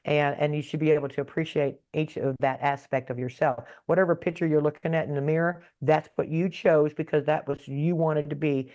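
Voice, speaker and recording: A woman talks slowly through her story in a casual interview, often stalling and repeating herself. Her voice comes over a video call with dull, muffled, lo-fi sound. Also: deep voice, mellow. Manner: halting, repeats words, many fillers. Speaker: spiritual guest.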